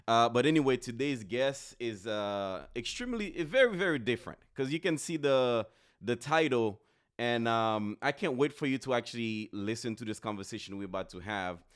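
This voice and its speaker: A man from Haiti hosting a podcast in an upbeat, chatty way, often repeating words and pausing on fillers. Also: medium pitch, lively intonation, smooth. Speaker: podcast host.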